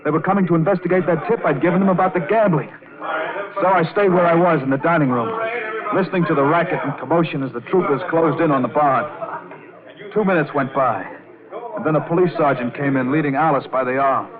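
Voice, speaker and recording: An actor playing an anxious, self-justifying piano player who narrates a crime story in a tense, confessional, pleading way, in old-time radio drama style. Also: male voice, fairly high pitch, fast talker, a crowd in the background, lightly acted. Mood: tense, urgent. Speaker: narrator and lead character. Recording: muffled vintage radio recording with music.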